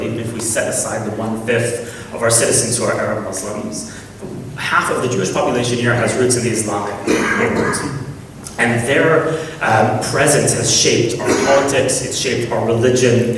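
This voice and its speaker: A man's voice: mid-range voice, a little hiss or hum. Reflective man in an echoey hall. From Canada. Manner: measured, reflective, frequent ums and restarts. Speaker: panel speaker. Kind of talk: public panel talk. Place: large auditorium. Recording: echoey hall, far from the mic.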